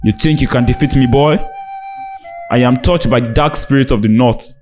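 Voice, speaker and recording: A man voicing a hulking, arrogant warlord with dark powers, boastful and menacing, in a folktale. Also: medium pitch, normal speed, faint music behind, lightly acted. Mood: taunting.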